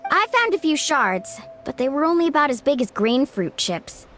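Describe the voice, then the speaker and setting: An upbeat, friendly girl from a video game, pitched very high and swinging widely as she talks. She is bubbly and expressive, with music playing behind her. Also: moderate pace. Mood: friendly, eager. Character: upbeat, friendly young hot springs hostess girl.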